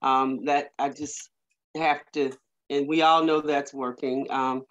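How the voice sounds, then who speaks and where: A woman's voice: a bass voice, fairly even intonation, slow. A woman speaking casually in a meeting over a video call, rambling and hesitant with many fillers. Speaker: community working group member. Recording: muffled lo-fi video call audio.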